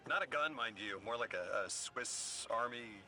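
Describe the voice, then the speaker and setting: An actor voicing a bewildered man displaced centuries from his own time, halting and searching for words, in a video game cutscene. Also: medium pitch, slight background noise. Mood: folksy. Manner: anguished and halting when grieving, folksy and rambling when relaxed.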